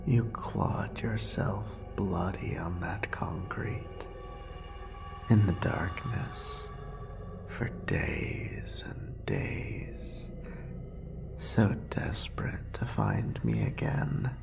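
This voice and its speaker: A man playing an obsessive, murderous, possessive lover in a horror roleplay audio drama, with a breathy, gravelly voice and lingering repetitions. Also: low-pitched, expressive, over music, heavily acted. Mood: tender, eerie. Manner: breathy whispering, drawn-out sighs, lingering repetitions.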